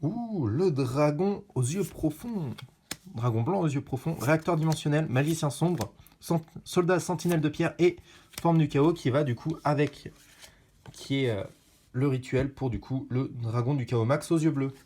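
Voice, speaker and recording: A man giving casual commentary while opening card packs, chatty and bursting into excited shouts at rare pulls. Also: on the high side, normal speed.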